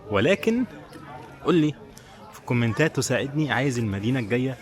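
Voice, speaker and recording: A man's voice: medium pitch, lively intonation, quick pace. A man with an Egyptian Arabic accent chatting informally to viewers as a travel vlogger, in a casual walking style. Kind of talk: casual walking vlog chat, talking to viewers. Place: mostly outdoors, walking through a tourist village. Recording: outdoor tourist spot with crowd noise. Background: people's voices.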